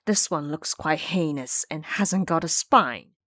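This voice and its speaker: A woman's voice: sits low, lively intonation, a little slow, fairly bright tone, dry recording, clean studio sound. A woman voicing a frightened male temple laborer in an audio drama, anxious and protesting. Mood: frightened reporting.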